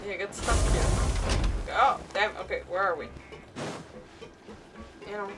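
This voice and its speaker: A woman's voice: mid-range voice, a little slow, recorded from a distance. A woman livestreaming a video game with casual, playful commentary, often muttering to herself and breaking off mid-thought. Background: game music.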